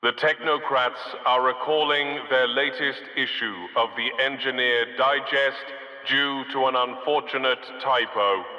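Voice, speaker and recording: A man voicing a formal, dry city loudspeaker announcer in a game, reading public announcements in a bureaucratic, deadpan manner. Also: medium pitch, roomy sound, big-room sound, muffled recording.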